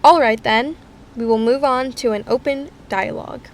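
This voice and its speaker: An actress playing a composed debate moderator in an audio drama, speaking formally and keeping things orderly. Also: female voice, fairly high pitch, moderate pace, heavy background noise, lightly acted. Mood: composed, firm.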